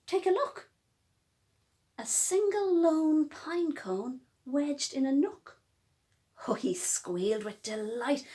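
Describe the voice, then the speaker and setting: A woman reading a rhyming picture book aloud to children, expressive and dramatic, with emphatic pauses and drawn-out words. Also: high-pitched. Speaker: children's storytime reader.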